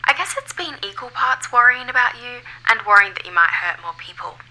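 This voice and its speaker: Laura, a bright-voiced, brisk ghostly woman. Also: on the high side, expressive, poor audio. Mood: relieved, wry. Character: Laura, unseen ghostly woman, relieved yet brisk and blunt. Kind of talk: audio fiction acting.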